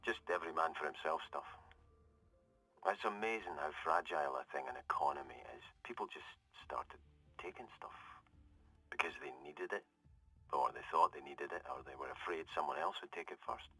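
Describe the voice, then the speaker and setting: An actor playing a hard-bitten Scottish ex-military survivor in a game audio log, talking in a wry, conversational, confessional way. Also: male voice, extremely low pitch, fairly quick, pushed and bright, slightly rough, dry recording, heavily acted, poor audio. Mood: grim, self-justifying. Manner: conversational, hesitant, darkly wry, trailing off. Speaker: security corporal.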